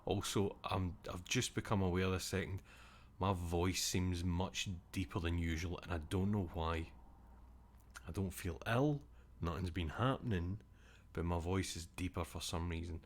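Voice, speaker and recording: Young Scottish male streamer, very low voice, loose rambling chat with casual swearing. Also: fairly even intonation, a little slow.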